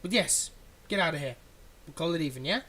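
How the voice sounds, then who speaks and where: A performer playing a theatrical supervillain, menacing yet exasperated and softhearted, in a one-sided ASMR roleplay spoken straight to the listener. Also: mid-range voice, lively intonation, a little fast. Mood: impatient. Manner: dramatic, swinging between threats and hushed soothing.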